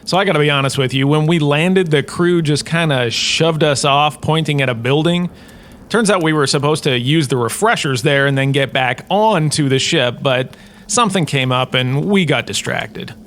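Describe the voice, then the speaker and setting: A man's voice: on the high side, normal speed, fairly bright tone, slight background noise, natural voice. A man playing a pompous, touchy sci-fi university lecturer, talking in a wry, conversational storytelling way with theatrical indignation. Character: pompous, touchy, chatty male sci-fi university lecturer on famous bounty hunters. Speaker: host, lecturer. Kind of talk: scripted comic in-character lecture.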